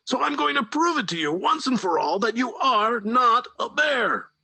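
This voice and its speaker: A man reading a storybook aloud and voicing an angry male factory boss who shouts in a raised, high voice. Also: big pitch swings, moderate pace, gravelly, husky, dry recording, exaggerated voice. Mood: scolding.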